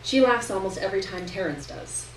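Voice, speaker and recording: A woman's voice: mid-range voice, expressive, a little fast. Woman reading fiction in an echoey room. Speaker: story reader. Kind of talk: literary narration read aloud to an audience. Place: live reading in a roomy indoor venue. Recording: roomy distant live recording, noticeable hiss.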